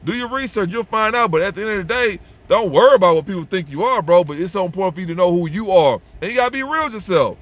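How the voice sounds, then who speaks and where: A man's voice: sits high, animated, quick pace, few pauses, fairly bright tone. A young man, talking to camera in a blunt, repetitive, slangy way and saying "bro" a lot. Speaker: vlogger giving advice. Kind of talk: blunt unscripted monologue to camera.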